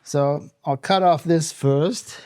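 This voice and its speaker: A man's voice: fairly high pitch, slow pace, a little mellow, clear, resonant tone, no echo. A man with a Canadian accent casually explaining hands-on workshop steps as he works, plain-spoken and matter-of-fact. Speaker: host of a workshop how-to channel.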